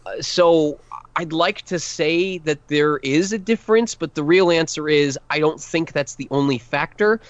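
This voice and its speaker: A man's voice: on the high side, measured pace, no echo, muffled recording. A man who works as a voice actor, chatting on a podcast, rambling and self-deprecating as he explains casting. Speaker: voice actor guest. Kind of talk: casual podcast chat.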